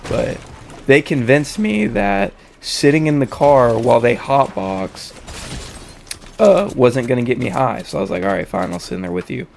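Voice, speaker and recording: A young man tells a casual story in a creaky, fry-laden voice, slightly hoarse from a head cold. His talk is slangy and full of swearing. There is a faint wash of noise behind him. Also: mid-range voice, sing-song, moderate pace. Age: young adult. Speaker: storytelling host.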